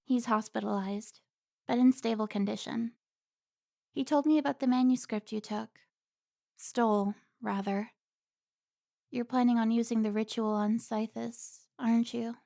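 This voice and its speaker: A woman plays a stern female vampire hunter from an audio drama. Her voice is on the high side with a gravelly creak, recorded dry and close. She is protective, commanding and quick to scold. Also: steady tone, warm tone, dry recording. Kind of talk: cinematic audio roleplay acting.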